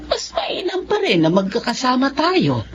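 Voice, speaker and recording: A woman playing a caring, gently scolding middle-aged mother in a Filipino radio drama. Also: sits high, huge pitch swings, normal speed, lo-fi sound, background noise. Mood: worried, tender.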